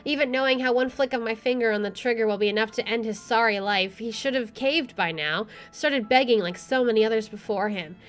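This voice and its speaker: High-voiced woman reading game text aloud. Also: brisk, hardly any pauses. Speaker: let's play streamer. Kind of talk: reading visual novel text aloud with casual commentary. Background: faint game music.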